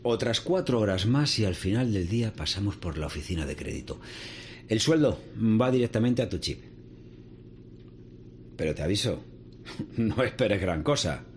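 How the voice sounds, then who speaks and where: A middle-aged, world-weary farm veteran in a radio drama. He has a low voice and a Castilian Spanish accent, over faint noise. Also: sing-song, moderate pace, pauses often. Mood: friendly, practical. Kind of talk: radio drama acting, conversational. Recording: faint background noise and ambience.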